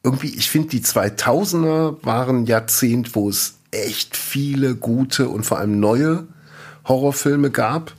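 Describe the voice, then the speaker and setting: A man's voice: mid-range voice, a little slow, a little mellow, slightly rough. A man co-hosting a casual podcast and running a quiz, chatty and rambling, often laughing as he talks. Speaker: podcast co-host and quizmaster.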